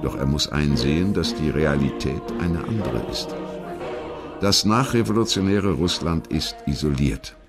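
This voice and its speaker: A man reading scripted historical documentary narration in a measured, articulate storytelling manner. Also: a bass voice, lively intonation, music in the background.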